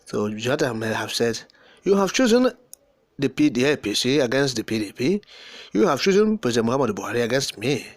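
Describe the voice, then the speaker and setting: A man's voice: mid-range voice, very animated, brisk, dry recording. A man with a Nigerian accent giving impassioned, unscripted political commentary in an emphatic, oratorical way, repeating and piling up words for emphasis.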